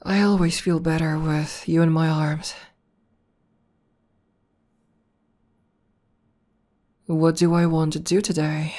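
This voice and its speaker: A woman playing an affectionate, bashful tomboy demoness warrior in an intimate ASMR roleplay, talking softly and tenderly with some hesitation. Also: sits low, level tone, normal speed, natural voice. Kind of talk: ASMR roleplay, intimate one-sided conversation.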